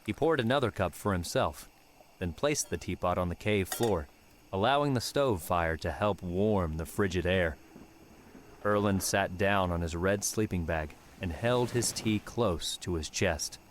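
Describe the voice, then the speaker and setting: A man's voice: deep voice, a little slow, slight background noise. A man reading scripted narration of an adventure novel as an audiobook narrator.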